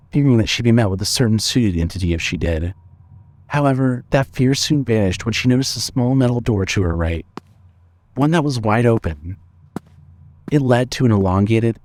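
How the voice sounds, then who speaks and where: A man narrating a scripted story with measured, suspenseful storytelling. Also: medium pitch, a little mellow.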